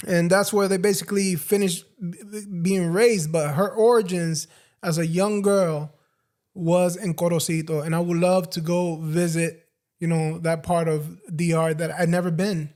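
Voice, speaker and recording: A young man from the United States co-hosting a relaxed podcast. His voice sits fairly high, and he often trails off and hunts for words while slipping Spanish phrases into his English. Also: moderate pace. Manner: searches for words, restarts, mixes in Spanish. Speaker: podcast co-host. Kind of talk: casual chat.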